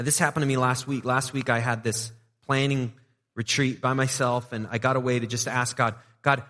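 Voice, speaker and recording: A man preaches in an easygoing, conversational way, tossing playful asides to the people in front of him. His voice sits at an ordinary middle pitch. The sound carries a long reverb from a large church hall. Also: a bit bright. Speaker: pastor. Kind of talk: sermon. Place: church sanctuary.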